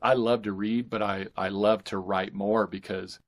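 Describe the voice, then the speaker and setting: A man speaking as an author guest in a casual interview, with halting, thoughtful phrasing. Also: lowish voice, fairly even intonation.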